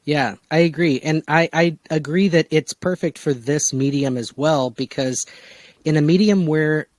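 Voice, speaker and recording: Higher-voiced man hosting a casual discussion. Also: measured pace, a little mellow, dry recording. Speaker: podcast host and producer.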